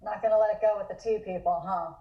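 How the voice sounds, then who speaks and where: A woman's voice: mid-range voice, fairly even intonation, fast, lightly acted. A woman voicing a curt, sardonic woman in an amateur table read. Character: curt, sardonic woman who rejects pet names. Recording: echoey, phone-like thin microphone.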